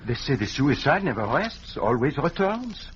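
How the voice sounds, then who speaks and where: An actor playing a vain, shrewd detective with a French accent and courtly, grand manner in a 1940s radio drama. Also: male voice, on the high side, very animated, moderate pace. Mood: courteous, needling. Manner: pompous, courtly, speaks of himself grandly. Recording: dull, noisy 1940s radio recording.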